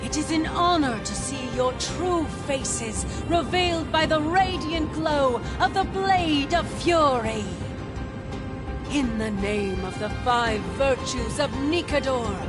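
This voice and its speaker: A grandiose female herald of a warlike city in a video game. Her high voice declaims slowly and theatrically, with haughty mockery, over clearly audible music. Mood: ceremonial.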